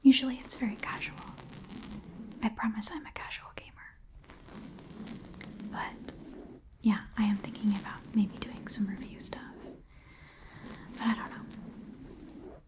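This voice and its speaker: A woman whispering in a hushed, casual ramble, as in an ASMR video. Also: mid-range voice, fairly even intonation, unhurried, long pauses. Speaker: ASMR content creator.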